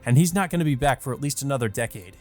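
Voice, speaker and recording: A man's voice: mid-range voice, expressive, very fast, a little mellow, soft background music, natural voice. A man voicing a foul-mouthed, hot-tempered male vampire hunter who curses and snaps at everyone. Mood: angry. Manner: cursing, gruff, aggressive.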